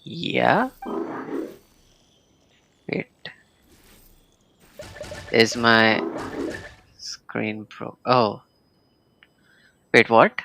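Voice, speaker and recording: A man's voice: medium pitch, animated, extremely slow, gravelly, long pauses, music in the background. A man with an Indian accent giving casual, playful, reactive live commentary as he streams a game.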